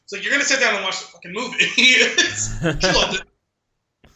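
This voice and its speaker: A man with a very bright, forceful, high voice in a roomy, echoey space. He tells casual, rambling stories like a podcast co-host, animated and sardonic, quoting back-and-forth dialogue as he goes. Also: normal speed.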